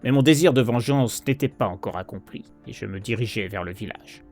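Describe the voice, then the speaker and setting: A man playing an anguished, guilt-ridden heir who narrates a horror poem in a solemn, theatrical, measured way. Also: on the low side, a little mellow. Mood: dark vengeance. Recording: narration over prominent background music.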